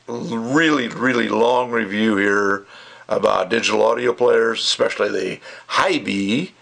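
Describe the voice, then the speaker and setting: An older man with a gravelly, creaky voice giving a casual, rambling review in an opinionated, emphatic way. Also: fairly low pitch, a little slow, fairly bright tone. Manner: opinionated, rambling, emphatic, acts out mocking quotes. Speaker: audio gear reviewer. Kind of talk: casual ranting video review.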